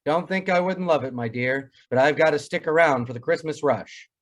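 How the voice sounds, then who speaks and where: A man's voice: fairly high pitch, moderate pace, close to an everyday voice. A man playing a wry, pompous family doctor in old-time radio comedy, wordy and drily sarcastic. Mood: mock lament.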